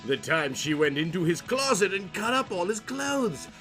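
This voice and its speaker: A man's voice: high voice, big pitch swings, moderate pace, a bit bright, faint music behind. A man narrating a comic story in a theatrical, mock-serious audiobook style.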